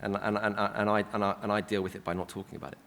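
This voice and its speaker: A man on a panel answering informally and haltingly, repeating his words. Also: lowish voice, level tone, vocal fry, fairly bright tone. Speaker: panel member. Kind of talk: informal unscripted reply. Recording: noisy echoey hall with clicks.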